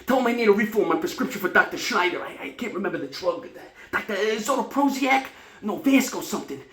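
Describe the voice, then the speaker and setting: A man's voice: sits high, lively intonation, quick pace, fairly bright tone, pitched above the performer's own voice. A man performing a comic stage monologue as a neurotic, panicky hypochondriac who gasps and exclaims as if dying. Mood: frantic. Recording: roomy, echoing solo room recording.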